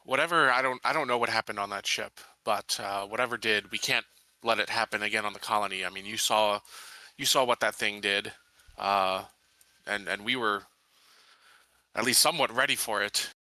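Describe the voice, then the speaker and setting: Guy playing a tabletop rpg, really bright punchy voice, super dry sound, kinda halting as he thinks out loud. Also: fairly low pitch, no echo. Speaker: player in a tabletop roleplaying game. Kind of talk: casual in-game roleplay in his own voice.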